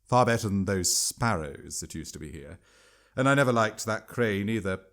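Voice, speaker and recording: A man's voice: lowish voice, expressive, moderate pace, natural voice. A man voicing a smug, chattering imperial minister in a storybook, breezy and dismissive. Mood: chatty.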